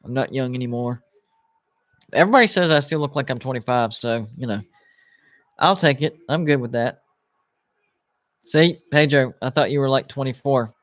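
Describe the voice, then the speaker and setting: A man's voice: mid-range voice, normal speed, pauses often, no echo. A man in his late thirties hosting a live sports watch party stream, chatting casually with viewers and rambling through his thoughts. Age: 30s. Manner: rambling, restarts, lots of "like" and "you know".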